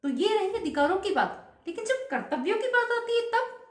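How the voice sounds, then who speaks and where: A woman's voice: very high-pitched, sing-song, moderate pace, roomy sound. A woman vlogger giving an earnest patriotic speech to camera, asking many rhetorical questions.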